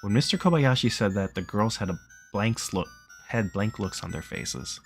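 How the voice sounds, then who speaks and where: A man's voice: fairly low pitch, expressive, moderate pace, faint music behind. A man live streaming a visual novel, reading it aloud and chatting casually.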